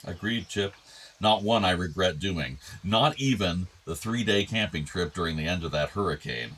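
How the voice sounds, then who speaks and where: An actor playing an ailing, tender older father in a radio play, reflective and gently earnest. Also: sits low, expressive, moderate pace. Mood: fond, wistful. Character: ailing, tender, wistful aging father of two grown sons.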